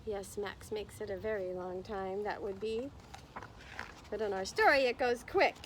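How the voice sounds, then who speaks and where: A woman's voice: medium pitch, measured pace, noisy background. A woman reading a picture book aloud to young children, expressively, with encouraging, chatty explanations along the way. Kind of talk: slow children's read-aloud with chatty asides to listeners.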